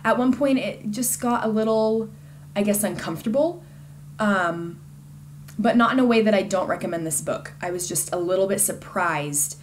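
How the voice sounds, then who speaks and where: A woman's voice: mid-range voice, moderate pace, slight background noise. A woman giving a casual, conversational book review in an informal and enthusiastic way.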